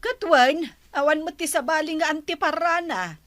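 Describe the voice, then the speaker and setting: A woman's voice: high voice, moderate pace, a bit bright. A woman playing a practical, grumbling village auntie in a comic radio drama.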